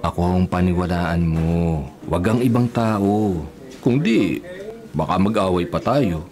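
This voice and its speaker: A man's voice: low voice, lively intonation, slow pace, music in the background, full character voice. A man playing a stubborn, dismissive husband and father in a Filipino radio drama, firm and insistent.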